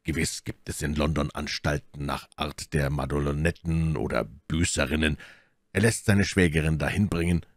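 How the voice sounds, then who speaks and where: A man voicing a calm, commanding and wry musketeer in a measured way for an audiobook. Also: very deep voice, no room sound, clean studio sound. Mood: deliberate, assured. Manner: measured, unhurried, authoritative. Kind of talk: audiobook character dialogue.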